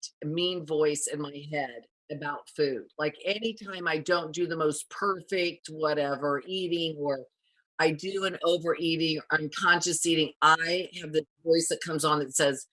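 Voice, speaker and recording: A woman's voice: low-pitched, a bit bright, no room sound, low-quality recording. A woman, a past client, candidly describes her experience in a casual testimonial, hesitating and saying "like" often. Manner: candid, hesitant, many likes.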